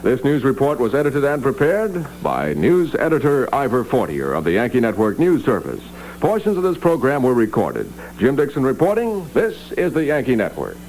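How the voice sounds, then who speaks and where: A man's voice: medium pitch, wildly swooping pitch, moderate pace, a little mellow, poor audio. A man reading scripted copy as a radio announcer in a polished, formal 1960s newscast style. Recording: 1960s AM radio aircheck, hum and hiss.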